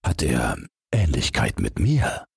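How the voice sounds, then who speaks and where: A man's voice: very deep voice, huge pitch swings, takes it slowly, creaky, breathy edge, dry recording, studio quality, voice pushed down, full character voice. A man voicing a naive, curious and wistful young snowman in a storybook, asking in a wondering manner. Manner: wondering, questioning. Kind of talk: storybook character voice.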